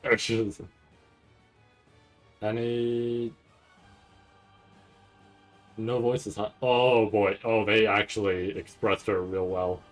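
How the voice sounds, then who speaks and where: A man's voice: lowish voice, level tone, extremely slow, pauses often, faint music behind, rough recording. A man streaming casual live reaction commentary, thinking aloud in a rambling, freely swearing way. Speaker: streamer reacting to an anime trailer.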